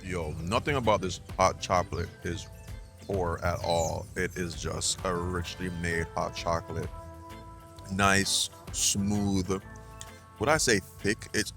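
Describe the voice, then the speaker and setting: A man's voice: sits low, bright, forceful tone. A man vlogging casually as he tastes street food, chatty and upbeat. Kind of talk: casual food review and ad read.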